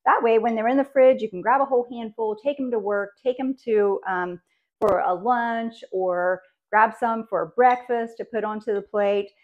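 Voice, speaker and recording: A woman's voice: on the high side, normal speed, phone-quality sound. A woman, chatting practically as she preps food in her home kitchen. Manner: friendly, practical, talks while working. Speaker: cooking channel host. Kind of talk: casual kitchen how-to.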